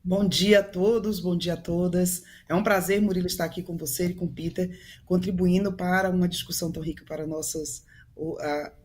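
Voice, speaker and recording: A woman's voice: lowish voice, steady tone, a little fast, darkish tone. A woman from Salvador with a Bahian accent, commenting on a studio panel in an earnest, firm way with some hesitations. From Salvador, Bahia, Brazil. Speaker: businesswoman, commentator.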